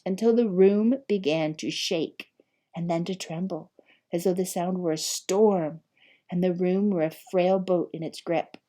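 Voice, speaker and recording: Woman narrating a storybook, soft mellow tone, expressive n dramatic, leans on the big words. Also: on the low side. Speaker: audiobook reader.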